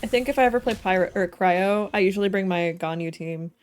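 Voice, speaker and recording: A woman's voice: medium pitch, fast talker, slight background noise. A woman chatting casually and expressively while live streaming a game. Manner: giggly, expressive.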